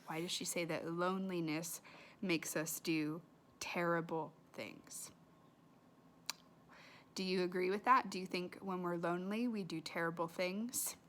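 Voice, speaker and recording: A woman's voice: on the low side, fairly bright tone, a little hiss or hum. A woman reading a storybook aloud expressively, with dramatic emphasis and casual asides to her listeners. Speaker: read-aloud reader.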